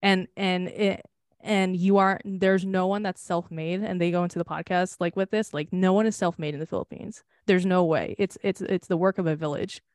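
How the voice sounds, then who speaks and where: A woman's voice: fairly low pitch, steady tone, moderate pace, a little mellow, no room sound. Candid young woman, dry close sound. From United States. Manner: candid and rambling, swearing and laughing often. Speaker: podcast co-host. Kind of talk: casual chat.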